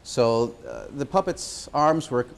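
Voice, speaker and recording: A man giving an informal explanation as a puppeteer presenting a show, pausing and hesitating as he goes. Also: mid-range voice, expressive, clean tone.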